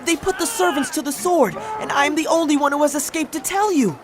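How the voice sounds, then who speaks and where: An actor playing a panicked messenger, a sole survivor crying out frantically in a dramatized audio Bible. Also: male voice, very high voice, quick pace, background noise.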